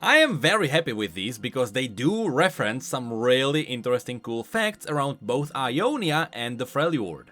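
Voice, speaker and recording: Male host for game lore explainer: lively intonation, emphatic, faint music behind. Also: medium pitch, moderate pace, hardly any pauses. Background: faint background music.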